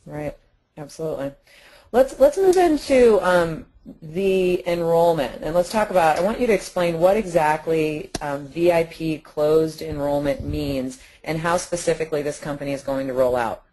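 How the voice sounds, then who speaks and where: A woman's voice: deep voice, normal speed, mellow, rough recording. A woman hosting a business conference call, affirming and supportive as she interviews.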